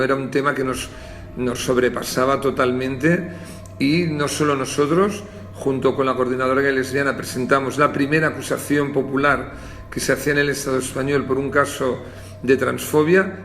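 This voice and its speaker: A man's voice: mid-range voice, gravelly, fairly bright tone. A man, an activist spokesman recalling events in an interview. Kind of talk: spontaneous interview recollection.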